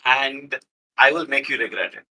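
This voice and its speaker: A man's voice: mid-range voice, normal speed, bright, forceful tone, rough recording. A man bantering casually as a player on a tabletop roleplay podcast.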